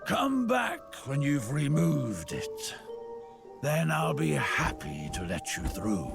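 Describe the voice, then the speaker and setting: An actor voicing a slow, gravelly old male talking tree in a game cutscene. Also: on the high side, sing-song, exaggerated voice. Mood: weary. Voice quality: gravelly, drawn-out. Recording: game audio with music behind.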